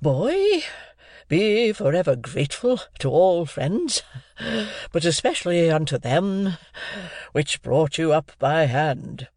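A reader voicing a pompous, self-important older uncle who makes slow, grand pronouncements in an audiobook. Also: mid-range voice, sing-song, a little mellow, dry recording, studio quality, pitched above the performer's own voice. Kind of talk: audiobook character voice.

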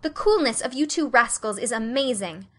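A woman's voice: fairly high pitch, lively intonation, moderate pace, pitched above the performer's own voice. A woman voicing a prim but amiable teenage girl who presides over a storybook club, formal and mock-stern. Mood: mock outrage. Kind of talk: storybook character reading.

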